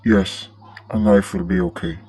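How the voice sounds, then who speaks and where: A man's voice: fairly low pitch, lively intonation, measured pace, a little mellow, husky, heavily acted. A man playing a cold-blooded crime boss in a radio play, giving orders in a terse, menacing, businesslike way. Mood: cold, commanding.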